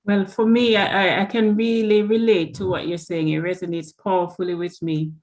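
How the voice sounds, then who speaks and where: A woman with a somewhat low voice speaks earnestly as a guest on a video call. She often restarts her sentences, repeating words as she finds her way. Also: level tone, moderate pace, a little mellow, rough recording. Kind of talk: motivational talk and panel answers.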